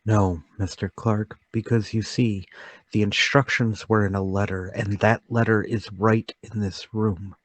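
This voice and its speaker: A man's voice: fairly low pitch, little pitch movement, measured pace, no room sound. A man playing a meek, bewildered murder suspect, speaking hesitantly and politely in a mystery radio play table read. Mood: quietly insistent.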